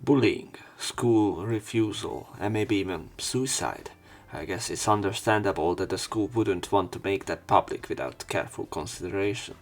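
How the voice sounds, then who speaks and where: A man reading a visual novel aloud as a let's play host, with his own asides. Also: fairly low pitch.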